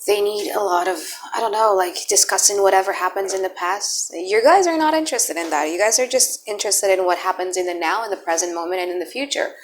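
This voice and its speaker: A woman's voice: mid-range voice, quick pace, few pauses, slight background noise. A woman whose first language is Indonesian gives a chatty, blunt tarot reading, thinking aloud as she turns the cards. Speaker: tarot reader. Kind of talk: casual tarot reading to camera.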